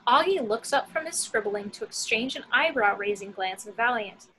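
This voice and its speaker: Bright, high-voiced woman narrating a script. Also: expressive, normal speed, slight background noise, low-quality recording. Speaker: action-description reader.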